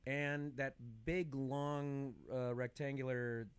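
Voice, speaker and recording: A man chatting casually as a longtime music fan, repeating words for emphasis in an animated, opinionated way. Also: mid-range voice, slow, over a noisy crowd. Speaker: podcast speaker. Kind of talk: casual chat about concerts as a fan.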